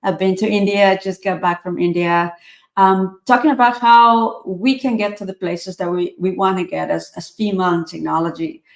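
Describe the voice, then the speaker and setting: A woman's voice: medium pitch, fairly even intonation, normal speed. Woman, 40s, from Ecuador, reflective interviewee, echoey room. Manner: earnest, reflective, many fillers and restarts. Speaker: author and tech speaker. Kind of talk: interview answers.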